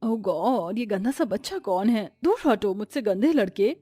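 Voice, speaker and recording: A woman's voice: medium pitch, lively intonation, measured pace, warm tone, slightly rough, no room sound. A woman voicing a snobbish cartoon passer-by, haughty and threatening. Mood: disgusted.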